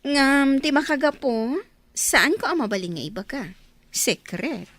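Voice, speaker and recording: Woman playing a coy Filipino flirt, high-pitched and swooping. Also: lively intonation, unhurried, fairly bright tone. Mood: coy, teasing. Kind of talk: Filipino radio drama acting.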